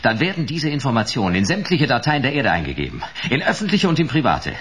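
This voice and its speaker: An actor playing a hard-boiled, wry private detective in a radio crime drama, laconic and dry. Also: male voice, mid-range voice, very animated, fast, a bit bright, husky. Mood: defiant, dry. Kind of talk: 1980s German radio crime drama acting with first-person noir narration.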